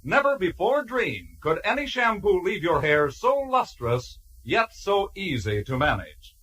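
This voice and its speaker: A man puts on a gushing vintage radio announcer. The voice is very high and rough-edged, swooping up and down in pitch. Every phrase is sold with emphasis. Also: big pitch swings, a little slow, a bit bright, exaggerated voice. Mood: gushing, emphatic. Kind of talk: 1940s radio commercial announcing.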